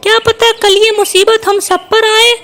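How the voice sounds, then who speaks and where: A woman's voice: very high-pitched, little pitch movement, unhurried, a bit bright. A woman voicing a brave, clever, kind young female bird in a children's cartoon, speaking in a reassuring, decisive way. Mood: caring, resolute. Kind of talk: Hindi children's cartoon voice acting.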